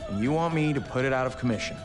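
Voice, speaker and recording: A terse young hero, voiced by a man in a game scene, asking plain, clipped questions. His voice sits at a middle pitch. Music and a hall echo play behind him. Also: rapid-fire, close to an everyday voice. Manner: short, flat questions. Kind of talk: video game cutscene acting.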